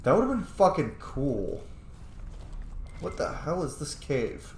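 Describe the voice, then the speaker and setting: A man doing casual gameplay commentary in an excitable, conversational, sweary way. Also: a little high, takes it slowly, roomy sound, a little hiss or hum. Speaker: gaming video host.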